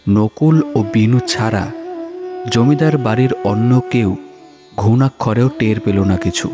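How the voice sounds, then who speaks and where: A man narrating a scripted horror story in a dramatic, measured, suspenseful manner. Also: medium pitch. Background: music.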